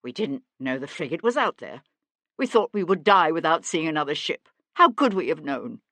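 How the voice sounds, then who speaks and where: A woman's voice: rumbling low, big pitch swings, fairly quick, fairly bright tone, no echo, full character voice. A woman voicing a blustering middle-aged merchant man in an audiobook, indignant, guilty and pleading. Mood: desperate pleading.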